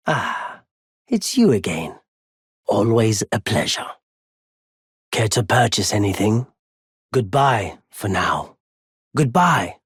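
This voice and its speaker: Tired nomad trader man for a game, super raspy breathy voice, kinda low, polite n wry, takes his time. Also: no echo, clean studio sound, heavily acted. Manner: measured, polite, wry, understated. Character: weary, courteous nomadic merchant man with a quietly unforgiving streak. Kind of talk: video game character dialogue.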